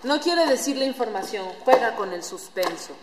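High-voiced woman narrating in an echoey hall. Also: expressive, moderate pace. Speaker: narrator of a workshop radio play. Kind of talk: scripted storytelling narration. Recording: echoey hall with room noise.

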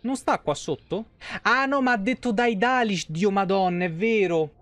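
Higher-voiced man streaming, dry sound. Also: moderate pace, fairly bright tone, no echo. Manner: colloquial, swearing. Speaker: game streamer. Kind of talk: casual live game commentary, chatting with viewers. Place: small room at home.

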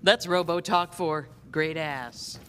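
A woman's voice: low-pitched, fairly even intonation, takes it slowly, fairly bright tone, long reverb tail, a little hiss or hum. A woman playing a brash, lustful shop owner in a video game, bossy and theatrical, with a commanding drawl. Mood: possessive, lustful.

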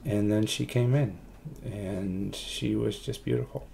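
A man in his forties reflects in a documentary interview, pausing and searching for words. His voice is low and dark, with a heavy creak. There is slight noise in the room behind him. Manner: halting, hesitant delivery with long pauses. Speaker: adoptive parent, interviewee. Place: room at home, indoors.

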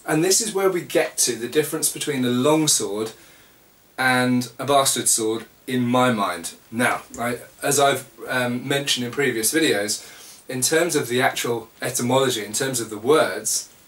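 A British man in his forties who hosts a channel, talking casually to camera with a congested voice while recovering from a lost voice, in a small indoor room. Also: medium pitch. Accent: British English. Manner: relaxed, explanatory, with many fillers. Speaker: channel host. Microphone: camera mic at a short distance. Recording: echoey room, slightly distant mic.

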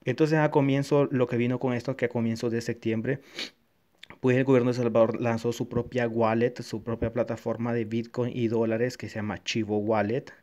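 A man's voice: medium pitch, flat delivery, normal speed, mellow, lo-fi sound. A man from Honduras giving a casual, hesitant review of a wallet app over a screen recording, with many fillers and restarts. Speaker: tech reviewer.

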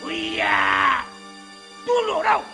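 An actor playing a boastful, hot-tempered warlord villain in a cartoon, barking and gloating bombastically. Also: male voice, very high voice, huge pitch swings, slow pace, creaky, over music, full character voice. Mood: menacing, furious. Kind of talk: animated film voice acting.

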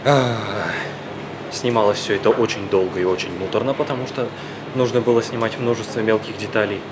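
A man's voice: lowish voice, fairly even intonation, brisk. A young man, an amateur animator, giving an interview into a handheld microphone in a foyer. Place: indoor cinema foyer. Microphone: handheld reporter's microphone. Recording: clear background hum and noise.

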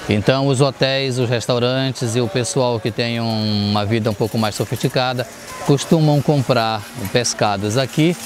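A middle-aged man guiding viewers through a riverside fish market, explaining its history in a measured way. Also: mid-range voice, music in the background. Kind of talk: on-camera tour explanation. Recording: outdoor street noise behind.

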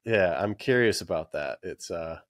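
A man's voice: sits low, lively intonation, moderate pace, a bit bright, no echo, clean studio sound. A man in his thirties hosting a casual podcast interview, talking with many fillers and restarts. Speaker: podcast host. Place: small room with collectible shelves. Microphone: studio mic with headphones.

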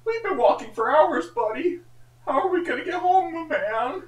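A man's voice: very high-pitched, animated, moderate pace, recorded from a distance. A man voicing a scared young slacker in a cartoon dub, with a whiny, cracking voice and a stammering drawl. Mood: nervous. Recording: roomy echo, faint music behind.

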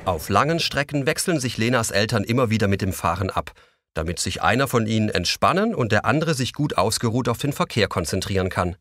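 A man's voice: on the low side, expressive, brisk, fairly bright tone, slight background noise. A man playing the composed narrator of a children's audio story, reading scripted narration.

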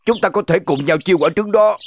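A man's voice: high-pitched, fairly quick. A man voicing a greedy, grumbling wolf in a children's cartoon, drawing out his words. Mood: grumbling, reluctant.

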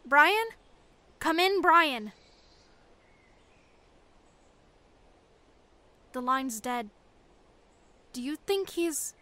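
A woman's voice: high-pitched, expressive, slow pace, pushed and bright, exaggerated voice. A woman playing a caring, worried rebel in a sci-fi audio drama, earnest and concerned. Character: caring, worried female rebel returning from a mission.

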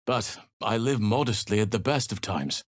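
An actor playing a burly middle-aged male elf druid in a fantasy game, earnest and gentle, with measured, formal phrasing. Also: fairly low pitch, lively intonation, dry recording, studio quality. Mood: grave, gentle. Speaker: video game character actor.

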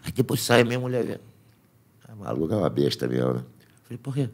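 Lively older Brazilian man, slangy talk. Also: fairly low pitch, fast. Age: 50s. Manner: animated storyteller, slangy, laughs often. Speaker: podcast guest, jiu-jitsu fighter. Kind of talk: casual chat. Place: small podcast studio with foam panels. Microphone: studio mic on boom arm, headphones.

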